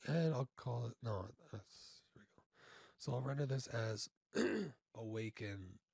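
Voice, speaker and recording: A man informally instructing step by step in a software tutorial, halting and often checking in, on a close mic. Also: medium pitch, expressive, creaky, breathy edge, no echo. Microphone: close studio mic through audio interface.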